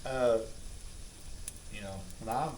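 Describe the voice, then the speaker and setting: A man's voice: mid-range voice, takes it slowly. A middle-aged man with a Southern US accent, telling comic anecdotes in a rambling, folksy, exaggerating way. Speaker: storyteller on a home cooking vlog. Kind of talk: comic anecdote, casual chat. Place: home kitchen with food sizzling. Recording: roomy, distant mic, noisy kitchen.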